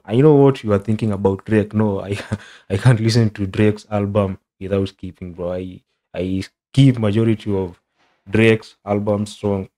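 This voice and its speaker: A young man from Kenya with a Kenyan accent, chatting casually as a content creator in English, which is not his first language, and dropping "bro" again and again. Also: on the low side. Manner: relaxed, says "bro" a lot, rambling. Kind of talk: casual reaction commentary.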